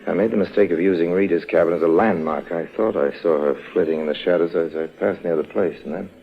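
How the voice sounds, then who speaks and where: Wry gentleman rogue, low and urbane, unhurried, muffled old radio serial sound. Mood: wry narration. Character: suave, wry male con-artist adventurer. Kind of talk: old-time radio drama acting and narration. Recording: muffled vintage radio recording, faint music.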